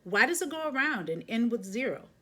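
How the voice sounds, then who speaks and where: An eager little storybook girl, as a woman reader puts her on. Her voice lifts high, and she sounds curious and questioning. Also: big pitch swings, a little fast, a bit bright.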